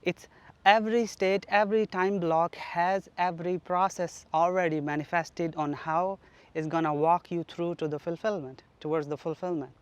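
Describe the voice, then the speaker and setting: Earnest man from Pakistan, higher voice. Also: fairly even intonation, normal speed, clear, resonant tone, no room sound. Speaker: spiritual teacher. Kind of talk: solo spoken teaching on manifestation. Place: flat.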